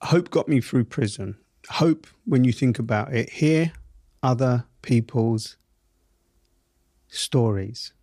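A middle-aged man speaking in a South East London accent, unhurried and emphatic. He leans on key words with deliberate stresses. The recording is close and dry, with no room around him. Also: mid-range voice, warm tone, no room sound. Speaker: interview guest. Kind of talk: reflective interview answers.

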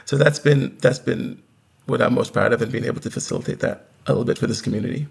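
An earnest man phones in to ask for advice, his voice heavy with gravel and a husky edge. He talks haltingly, leaning on fillers and restarting his sentences as he explains himself. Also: medium pitch. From Barbados. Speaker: caller, surf shop founder. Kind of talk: casual call-in chat.

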